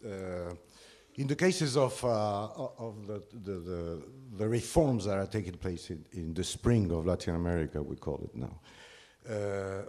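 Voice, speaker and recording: A man talks from the floor in a panel discussion. His voice sits somewhat low, and he pauses and restarts often as he searches for words. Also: warm tone. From Ecuador. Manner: halting, many fillers and restarts. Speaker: conference participant.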